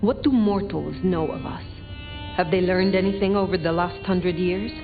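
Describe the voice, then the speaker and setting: A woman's voice: lowish voice, moderate pace, close to an everyday voice. An actress voicing an imperious ancient female vampire in a video game, speaking in a cool, formal, archaic and commanding manner. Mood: curt, skeptical. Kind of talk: video game dialogue acting. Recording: muffled game audio under music.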